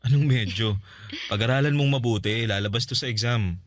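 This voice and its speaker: A man's voice: mid-range voice, brisk, close to an everyday voice. An actor with a Filipino accent playing an earnest, protective young man smitten in a radio drama. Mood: teasing, encouraging.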